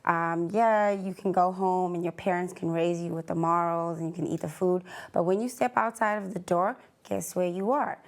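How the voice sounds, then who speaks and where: Low-voiced woman arguing firmly. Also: moderate pace, gravelly, few pauses. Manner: firm, matter-of-fact, lays out her argument step by step. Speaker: panelist. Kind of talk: panel debate.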